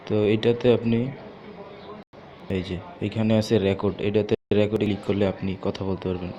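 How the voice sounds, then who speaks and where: A man's voice: lowish voice, steady tone, fairly quick, dark tone, lo-fi sound. A man with a Bangladeshi accent presenting an informal step-by-step app tutorial, repeating himself as he demonstrates.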